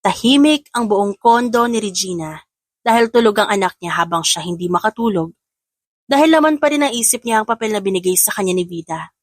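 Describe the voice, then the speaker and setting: A woman's voice: medium pitch, animated, brisk, no room sound, clean studio sound. A woman giving scripted narration of a romance story in Tagalog.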